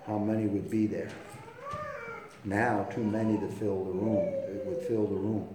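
A man preaching a sermon, swinging between hushed storytelling and loud, impassioned emphasis. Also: on the low side, wildly swooping pitch, measured pace. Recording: echoey room with faint noise.

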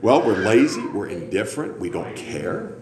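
A man preaches to a congregation with a lively, swooping delivery, slipping into self-mocking jokes and teasing asides between points. His voice rings through a roomy sanctuary over a faint hum of ambience. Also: lowish voice, normal speed. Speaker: pastor. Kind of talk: sermon with joking asides.

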